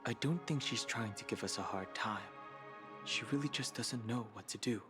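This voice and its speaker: A man playing a reserved, serious, level-headed character with a measured delivery in scripted video game dialogue. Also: medium pitch, natural voice. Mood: calm, concerned. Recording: prominent game music behind voice. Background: game background music.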